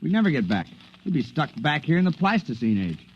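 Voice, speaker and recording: A man's voice: a little high, very animated, fast talker, slightly rough. An actor playing a worried, cautious male time traveler in a 1950s radio drama. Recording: dull lo-fi 1950s radio broadcast.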